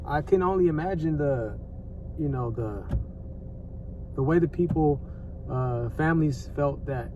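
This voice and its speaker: An American man with a slightly higher-than-average voice talks off the cuff like a vlogger commenting on the news. He is conversational and repetitive, using slang and swearing freely. The recording is a little distant, with faint background noise. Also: unhurried, long pauses, dark tone, dull audio.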